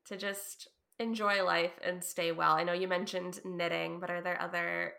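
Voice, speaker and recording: A woman's voice: fairly low pitch, level tone, normal speed, vocal fry. A woman hosting an interview podcast as a therapist, affirming her guest often with short backchannels. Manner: warm affirming listener, frequent "mm" and "yeah". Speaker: podcast host, trauma therapist. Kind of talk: casual interview and scripted outro.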